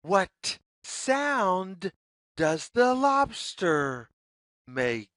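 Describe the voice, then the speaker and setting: Very slow, singsong woman reading to children. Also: medium pitch, big pitch swings, a bit bright, no room sound, clean studio sound. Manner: deliberate, singsong, repetitive phrasing. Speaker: children's story reader. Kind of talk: read-aloud picture story for young children.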